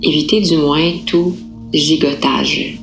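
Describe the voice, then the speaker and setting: A woman yoga nidra instructor guiding a relaxation meditation in a calm, unhurried, soothing manner with gentle pauses. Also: low-pitched, over music, rough recording.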